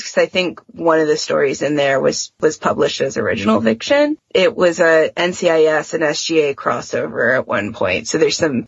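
A woman's voice: low-pitched, vocal fry, slightly rough, no echo, dull audio. A woman talking casually as a podcast co-host, in an informal, chatty way peppered with "like". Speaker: podcast co-host discussing fan fiction. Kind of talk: casual chat.

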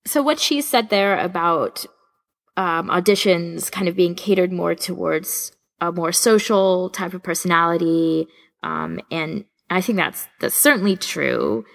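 A woman's voice: lowish voice, unhurried, creaky, fairly bright tone. A woman chatting casually as co-host of a podcast.

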